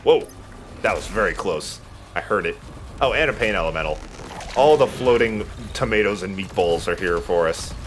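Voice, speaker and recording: A man's voice: low-pitched, expressive, normal speed, a bit bright, a little hiss or hum. A man giving casual, joking and profane commentary while playing a video game. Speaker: let's play host playing the game. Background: game music behind the voice.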